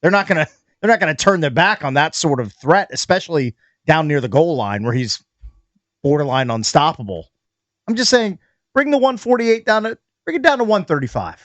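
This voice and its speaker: A man co-hosting a sports radio show, talking football in a casual, animated way with a nasal voice. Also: on the high side, fairly quick, dry recording, lo-fi sound. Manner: animated, laughs often, argues his point.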